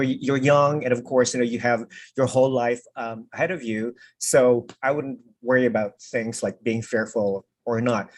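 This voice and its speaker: A man hosting a casual video call interview, chatty and prone to repeating his words. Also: mid-range voice. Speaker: pageant interviewer.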